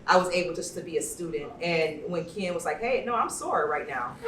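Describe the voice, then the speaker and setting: A woman's voice: fairly low pitch, expressive, a little fast, a bit bright. A woman moderating a panel informally, chatty, personal and effusive as she introduces people. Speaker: panel moderator and event host. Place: indoor event venue, amplified panel talk. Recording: echoey room, distant mic, faint noise.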